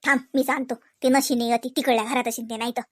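High-voiced chatty village daughter played by a woman. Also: flat delivery, fast, dry recording, clean studio sound, lightly acted. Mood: protesting, insistent. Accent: Varhadi Marathi, Vidarbha. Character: chatty married village daughter visiting her family. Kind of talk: rural comedy cartoon dialogue.

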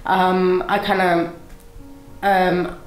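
A woman's voice: on the low side, level tone, extremely slow, echoey room, soft background music, poor audio. A young woman from Pakistan whose first language is Urdu, telling her life story to an interviewer with many fillers and restarts. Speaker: motivational speaker, guest. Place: indoor studio set with brick wall, seated at table.

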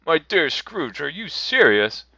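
A man voicing a portly, courteous old gentleman in a storybook, formal in speech and given to amazed exclamation. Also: on the high side, sing-song, measured pace, vocal fry, no echo, muffled recording, voice pushed up, exaggerated voice. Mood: astonished.

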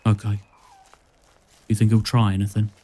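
A man's voice: lowish voice, monotone, normal speed, mellow, close to an everyday voice. A man playing an earnest, nervous rookie policeman in an audio drama, deferential and full of questions. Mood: uneasy.

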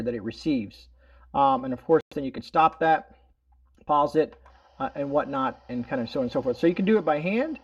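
A man's voice: fairly high pitch, lo-fi sound. A man hosting a casual product demonstration indoors at home, explaining things in a rambling, folksy way. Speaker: host of a product review video.

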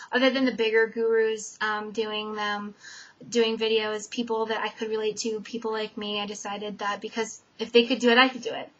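A young woman with a fairly high voice rambles through a list of personal questions, filling gaps with "um" and letting her sentences trail off quietly. She is from Connecticut and talks casually into a webcam in a dim bedroom. The audio sounds muffled and lo-fi. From Connecticut, USA. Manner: chatty, many "um" fillers, trailing off softly at sentence ends. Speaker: vlogger. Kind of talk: casual tag-video chat.

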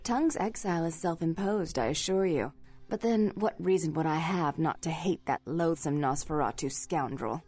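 A prim, imperious vampire proprietress, pitched on the low side. Her speech is formal and full of disdain, like a video game aristocrat, with music underneath. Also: moderate pace, darkish tone. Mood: disdainful. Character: haughty, prim female vampire nightclub owner.

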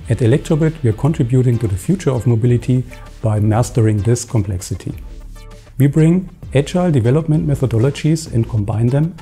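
Mellow-voiced man, steady and assured. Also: mid-range voice, a little fast, breathy edge, soft background music. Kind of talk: interview statement.

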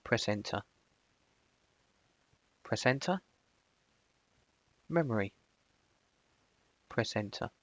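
A man presents a software screencast at an unhurried, slow pace. His delivery moves between casual explanation and short, clipped dictation commands. His mid-pitched voice is recorded dry, close to the room's silence, with a slightly muffled tone. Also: lively intonation, dry recording. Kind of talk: screencast tutorial, spoken voice commands.

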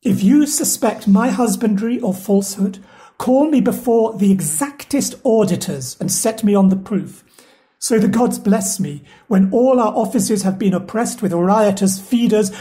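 A woman playing a loyal, sorrowful household steward in a Shakespeare audio play, speaking the verse in an earnest, measured way. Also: mid-range voice, warm tone, natural voice.

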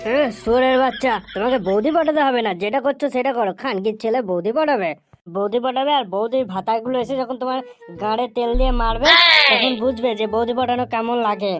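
Woman as brash cartoon lad, very fast, sweary, exclamatory, music underneath. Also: fairly high pitch, natural voice. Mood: mocking, irritated. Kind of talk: amateur comedy cartoon dubbing.

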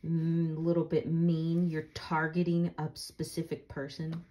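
A woman with a fairly low voice reads a picture book aloud. She explains things casually and simply, as if for children. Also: completely flat delivery, a little slow, warm tone. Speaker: read-aloud storyteller. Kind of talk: storybook reading with casual explanations.

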